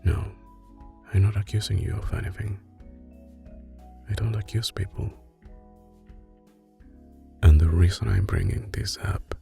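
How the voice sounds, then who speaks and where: Mafia boss played by a man for ASMR roleplay: Italian accent, very low register, unhurried and intimate, quietly menacing, devoted to his lover. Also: heavily acted. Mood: calm, probing. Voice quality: deep, creaky. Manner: slow, deliberate, controlled, intimate. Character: soft-spoken, menacing, doting male mafia boss boyfriend. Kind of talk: ASMR roleplay, soft spoken with whispering. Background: music.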